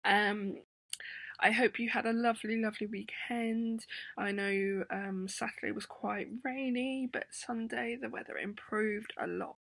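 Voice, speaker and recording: A woman in her thirties with an English accent, hoarse from a sore throat, gently teaching young children over a webcam with many ums. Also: on the high side, fairly even intonation, a little slow, no room sound. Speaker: primary school teacher. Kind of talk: remote lesson to children. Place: home room indoors. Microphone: laptop webcam.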